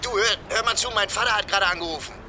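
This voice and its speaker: A man's voice: high-pitched, very fast, bright, forceful tone, a faint crowd, a little hiss or hum. An actor playing an anxious, agitated young man in a radio drama, stammering and swearing as he pleads urgently. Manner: stammering, urgent, foul-mouthed. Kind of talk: naturalistic radio drama dialogue.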